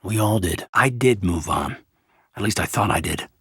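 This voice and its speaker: A man playing an earnest, loyal and conflicted space marine officer in a video game, with a husky voice and a sincere military manner. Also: fairly low pitch, lively intonation, moderate pace, sharp tone, no room sound, studio quality, full character voice. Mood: hurt, reproachful. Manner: sincere, military, clipped and intense. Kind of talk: video game voice acting, dramatic dialogue.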